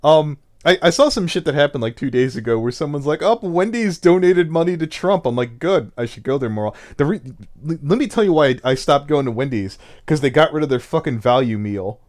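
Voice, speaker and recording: A man's voice: fairly high pitch, lively intonation, a little fast. A man streaming over a voice call, rambling, swearing and laughing often in casual chat. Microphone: Discord voice call. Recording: voice chat audio.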